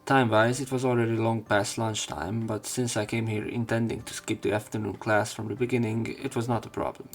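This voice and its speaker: Male let's play host, low creaky voice, narrating visual novel text offhandedly. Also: level tone, brisk, hardly any pauses, faint music behind. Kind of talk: reading visual novel text aloud with commentary.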